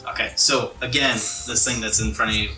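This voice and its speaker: A man's voice: on the low side, fairly even intonation, a little fast, pushed and bright. Echoey, distant man running a tabletop game. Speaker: game master. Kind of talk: improvised tabletop game narration. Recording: echoey room, off-mic, music underneath. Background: music.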